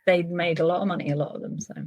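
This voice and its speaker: A woman's voice: fairly low pitch, fairly even intonation, racing, a little mellow. A woman novelist talking about her writing in a casual interview, thoughtful and full of small restarts. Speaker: novelist guest.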